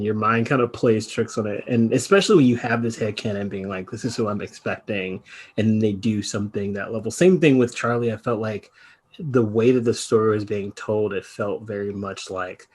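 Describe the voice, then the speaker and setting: A man's voice: lowish voice, fairly quick, darkish tone, husky. A man on a podcast panel, talking through his thoughts in a winding, reflective way and laughing easily. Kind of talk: casual discussion.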